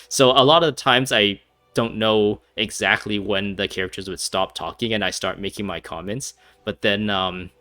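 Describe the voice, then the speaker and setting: A young man commentates casually on a game. His voice is fairly low but forward and bright, recorded dry over faint music. He rambles and hesitates in an easygoing, chuckly way. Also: dry recording. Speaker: gaming YouTuber. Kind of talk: casual let's-play commentary.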